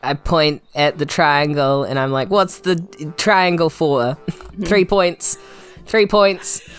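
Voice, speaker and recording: A woman with a New Zealand accent chatting and improvising as a player in a tabletop game, playful and deadpan. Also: sits low, takes it slowly, smooth, faint music behind. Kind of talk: casual improvised roleplay chat.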